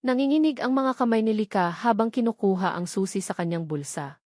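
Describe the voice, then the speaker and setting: A woman's voice: mid-range voice, animated, a little fast, darkish tone, no echo. Woman narrating a dramatic story, dry sound.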